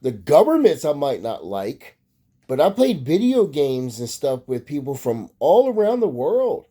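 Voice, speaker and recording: A man's voice: a little high, huge pitch swings, a little slow, dark tone. A man from Maryland, USA, delivering a profane, rambling casual monologue as a vlogger.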